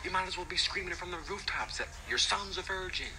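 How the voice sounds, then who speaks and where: A man's voice: high voice, expressive, quick pace, music in the background, poor audio. A young man putting on a staged phone conversation. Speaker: prankster on a fake phone call.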